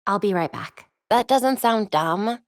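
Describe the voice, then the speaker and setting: A woman with an American accent playing a young, brainy, determined vigilante superhero in a video game, crisp, earnest and dryly quippy. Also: medium pitch, expressive, unhurried, no echo, clean studio sound, lightly acted. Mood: wry, playful. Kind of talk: video game voice acting, short dialogue lines.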